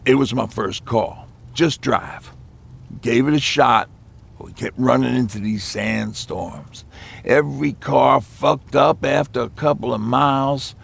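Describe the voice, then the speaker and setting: Male survivor for post-apocalyptic game: heavy fry, husky edge, reflective, halting storyteller. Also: mid-range voice, heavily acted. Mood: somber. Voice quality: gravelly, husky. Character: weathered male post-apocalypse survivor recalling hard times. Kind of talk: naturalistic video game acting.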